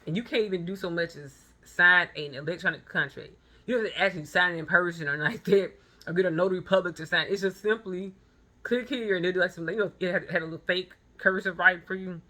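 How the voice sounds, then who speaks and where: A woman's voice: on the low side, fast, pushed and bright. A woman in her thirties giving a blunt, emphatic motivational monologue with personal anecdotes, repeating phrases for stress. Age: 30s. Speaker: vlogger and photographer.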